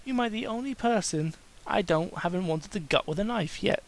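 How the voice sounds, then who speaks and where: A man voicing a volatile, murderous female cartoon pony with a threatening, forceful manner. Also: high voice, lively intonation, brisk, voice pushed up. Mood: softened, teasing.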